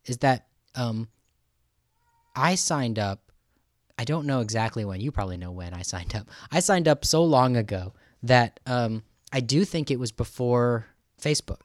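A man hosting a podcast in a casual, halting, thinking-aloud way as he talks with a guest. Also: mid-range voice, lively intonation, no echo, clean studio sound. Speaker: podcast host interviewing a guest. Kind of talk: casual conversational podcast talk.

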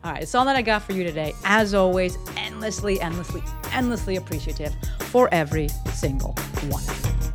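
A woman's voice: fairly low pitch, animated, fairly quick, over music. Brief: woman, solo podcast host at her desk, frank and profane, chatty with plenty of fillers. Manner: blunt and profane, lots of fillers. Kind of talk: casual solo podcast talk. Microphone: desk microphone.

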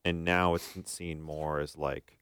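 A man's voice: extremely low pitch, flat delivery, slow pace, fairly bright tone, clean tone, no room sound, clean studio sound. A man hosting a podcast in a casual conversational style, thinking aloud and often checking for agreement. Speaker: podcast host.

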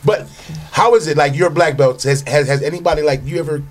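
A man co-hosting a podcast, chatting in an animated, joking, playful way. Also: on the high side, a little fast. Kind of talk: casual chat.

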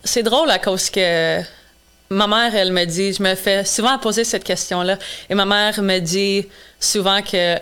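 A woman's voice: medium pitch, fairly even intonation, a little slow, fairly bright tone, clear, resonant tone. A woman in her twenties whose first language is English, answering interview questions earnestly with frequent hesitations. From Quebec. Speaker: guest, charity founder.

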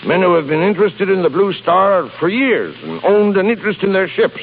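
An actor playing a gruff, stubborn old shipping boss with salty nautical talk, in 1930s radio drama style. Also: male voice, high voice, lively intonation, brisk. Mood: exasperated, indignant. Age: older. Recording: tinny, noisy 1930s radio recording.

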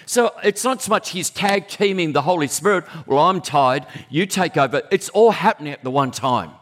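A man preaching a sermon in a conversational, animated way, breaking into sudden high exclamations. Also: normal speed.

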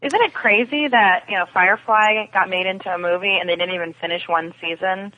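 Female guest, medium pitch, informal, dull lo-fi call audio. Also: fairly quick. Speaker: podcast guest. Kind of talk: casual panel chat. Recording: band-limited, dull remote call sound.

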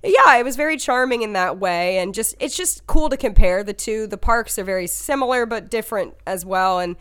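Slightly hoarse young woman podcast host. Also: mid-range voice, lively intonation, a little fast, a bit bright. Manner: chatty, laughs often, many fillers. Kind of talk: casual chat. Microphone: video call.

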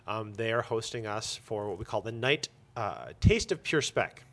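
A man's voice: on the low side, animated, normal speed, fairly bright tone. A man chatting casually as an interview guest and organizer, with a blunt, sarcastic, joking manner. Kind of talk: casual chat.

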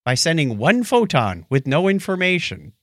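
Animated male podcast host, slightly high voice. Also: normal speed, dry recording, clean studio sound. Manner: jokey, wry asides. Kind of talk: casual science news chat, paraphrasing articles.

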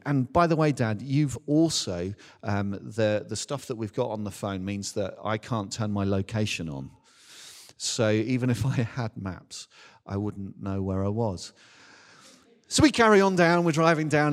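A middle-aged man preaching as a minister, telling a personal story in a chatty, self-deprecating, animated way. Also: mid-range voice. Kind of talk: anecdotal sermon, informal storytelling. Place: large indoor church hall. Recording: reverberant hall, live church talk.

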